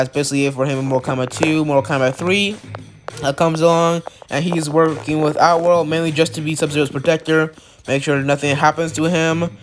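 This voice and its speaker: A man with a slightly high voice recaps a video-game character's history for his channel. He has a cold and rambles, leaning on likes and uhs. Faint music sits underneath his voice. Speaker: channel host.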